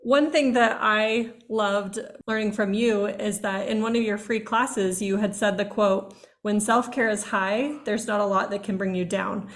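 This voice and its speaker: A woman's voice: mid-range voice, steady tone, a little slow, hardly any pauses. A woman hosting a podcast interview, talkative and eager, recorded over a video call. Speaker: podcast host. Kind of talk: casual interview chat.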